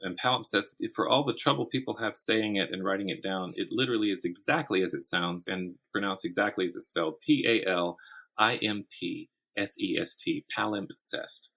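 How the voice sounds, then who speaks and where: Deep-voiced man chatting as interview guest. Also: fairly quick, vocal fry, pushed and bright, husky, rough recording. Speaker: podcast guest, writer and teacher. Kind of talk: casual conversational interview.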